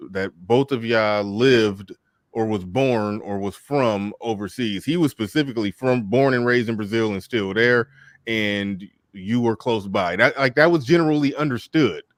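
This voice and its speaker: A man's voice: on the low side, moderate pace, no room sound, studio quality. A man hosting a live call-in debate show, talking casually with lots of stammered restarts. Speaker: host.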